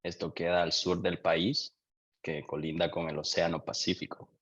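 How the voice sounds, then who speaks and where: Young Honduran man, very low voice, lo-fi muffled sound, thoughtful interview guest. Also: flat delivery, fairly bright tone, breathy edge. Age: young adult. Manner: reflective, unhurried, with frequent restarts. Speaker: guest, doctoral student. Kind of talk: casual interview answers.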